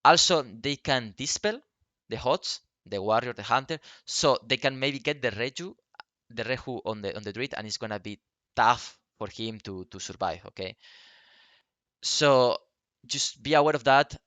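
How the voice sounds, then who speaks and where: Bright-voiced man coaching, close dry sound. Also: medium pitch, dry recording. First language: not English. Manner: halting, instructional, often checking with "okay?". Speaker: game coach and commentator. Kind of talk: unscripted strategy commentary.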